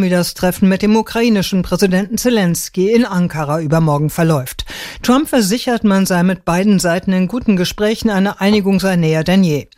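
A woman's voice: mid-range voice, a little mellow, no room sound. A female correspondent with a mid-range voice reports in a plain, matter-of-fact way. The recording is close and dry. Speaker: foreign correspondent. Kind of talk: news report.

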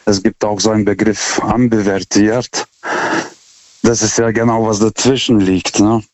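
A forty-year-old man calling a radio phone-in, speaking non-native German with an accent, haltingly, stammering over the starts of words. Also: lowish voice, flat delivery, darkish tone, no room sound. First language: not German. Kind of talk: unscripted, reflective call-in talk. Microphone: telephone. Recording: dull, band-limited phone call.

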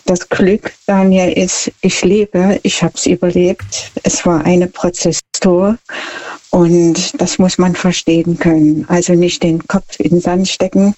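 A woman who speaks slowly and deliberately. Her voice is mellow and dark, and her telling is earnest and composed. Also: on the low side, level tone, poor audio. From Saxony, Germany. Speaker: caller. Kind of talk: phone-in chat.

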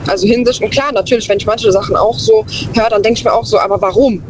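Woman on a call-in line, thinks out loud, keeps restarting, muffled and noisy with car rumble. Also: fast. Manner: reflective, hesitant, restarts sentences. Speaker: caller. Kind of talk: phone-in call. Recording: muffled phone line with noise.

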